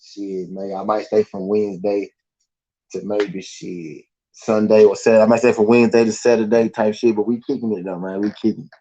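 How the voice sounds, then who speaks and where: Chill southern guy rambling on a live stream, low mellow voice, kinda dull lo-fi sound. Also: little pitch movement, brisk. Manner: laid-back, slangy, rambling with many fillers. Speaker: podcast host. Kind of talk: casual live-stream chat.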